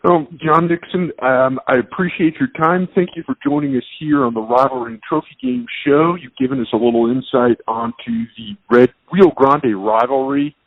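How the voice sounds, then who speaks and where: A man's voice: mid-range voice, moderate pace, few pauses, dry recording, phone-quality sound. A man hosting a sports show, interviewing a guest in a casual, conversational style.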